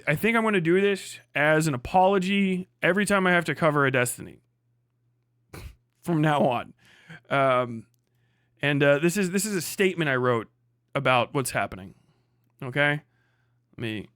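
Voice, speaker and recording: Higher-voiced man, blunt profane livestream ranter. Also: a bit bright, dry recording, studio quality. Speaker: livestream host and political commentator. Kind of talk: casual, unscripted livestream commentary.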